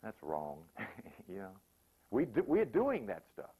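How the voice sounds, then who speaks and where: A man's voice: lowish voice, wildly swooping pitch, measured pace, dark tone, husky, poor audio. A man giving an informal, sermon-like motivational talk, repeating phrases emphatically and acting out examples. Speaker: motivational spiritual speaker.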